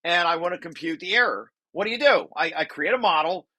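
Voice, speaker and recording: A man presenting a technical paper, reading passages aloud and breaking off into informal, halting explanations with repeated restarts. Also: fairly high pitch, animated, sharp tone, no echo.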